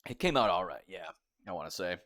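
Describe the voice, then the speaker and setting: A young man in his twenties talks casually to his livestream chat, his voice sitting somewhat low. He rambles and circles back on himself as he answers viewers. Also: expressive, quick pace, fairly bright tone. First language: English. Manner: rambling, chatty, reads chat aloud. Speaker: streamer.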